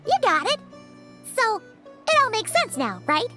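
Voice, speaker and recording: Game role: tiny childlike fairy girl, friendly and exclamatory, sky-high pitch, bouncy intonation. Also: huge pitch swings, unhurried, over music, full character voice. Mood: chirpy, candid. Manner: exclamatory, childlike, explains things simply. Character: tiny chirpy childlike female fairy companion, candid and friendly. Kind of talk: scripted video game dialogue.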